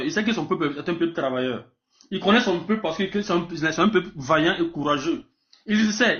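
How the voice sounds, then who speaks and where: A Burkinabé man debates politics at a very quick pace, in a slightly high voice. He speaks emphatically, repeats himself and trips over words. The live stream sound is dull. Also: fairly bright tone. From Burkina Faso. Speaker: debate participant.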